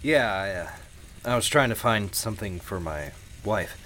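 An actor playing an awkward husband out shopping in a radio play, speaking hesitantly with halting starts. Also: male voice, fairly low pitch, animated, noisy background. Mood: hesitant, distracted. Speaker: customer.

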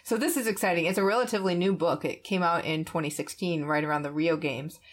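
A woman's voice: fairly low pitch, quick pace. A woman hosting a podcast in a casual, conversational style, chuckling often as she talks. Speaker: podcast host.

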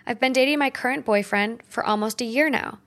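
A woman co-hosting an advice podcast, reading a listener's letter aloud in an even, steady way. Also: a little high, fairly quick.

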